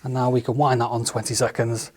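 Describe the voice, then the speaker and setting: A man giving a relaxed, methodical hands-on tutorial as a studio engineer, walking through each step with the odd filler. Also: medium pitch, a little fast. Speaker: studio engineer demonstrating equipment.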